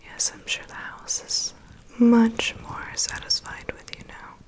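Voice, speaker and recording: A woman playing a calm, prying vampire's assistant in an ASMR roleplay, murmuring dry asides and reassurance in a breathy whisper. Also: a little slow, lightly acted. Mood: attentive, clinical. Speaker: ASMR performer. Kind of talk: ASMR roleplay, personal attention.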